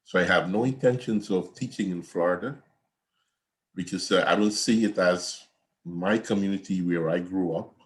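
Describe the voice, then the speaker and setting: Older man, low voice, professor lecturing casually, video call from home. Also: moderate pace. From Guyana. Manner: conversational, repetitive, digressive. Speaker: accounting professor. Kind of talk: online class lecture.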